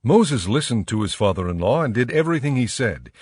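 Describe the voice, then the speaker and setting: A man narrating scripture for a dramatized audio production in a measured, formal storytelling manner. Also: mid-range voice, very animated, husky, dry recording. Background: soft music.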